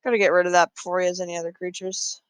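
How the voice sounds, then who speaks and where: A man's voice: sits high, level tone, rapid-fire, smooth, dry recording. A man casually talking through his moves in a card game, thinking aloud with frequent fillers. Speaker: gameplay commentator.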